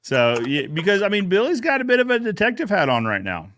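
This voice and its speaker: A man's voice: high-pitched, wildly swooping pitch, a little fast, a bit bright. A man hosting a casual conversation in an agreeable, jokey way. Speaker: podcast host. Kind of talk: casual chat.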